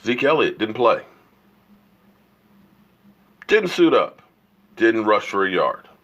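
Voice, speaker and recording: A man's voice: on the low side, very animated, slow pace, fairly bright tone, muffled recording. A man hosting a sports opinion livestream while he has a cold, arguing emphatically and firing off rhetorical questions. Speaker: sports show host.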